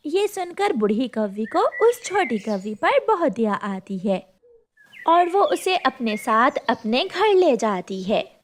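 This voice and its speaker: A woman's voice: on the high side, sing-song, slow, a little hiss or hum. A woman narrator reading a scripted children's story for a cartoon.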